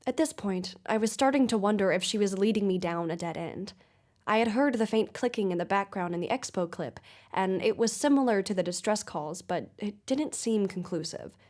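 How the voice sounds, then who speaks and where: An actress playing a measured, skeptical investigative podcast host in a scripted audio drama. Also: female voice, mid-range voice, animated, darkish tone, lightly acted. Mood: uncertain, reflective. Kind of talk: scripted investigative narration and interview.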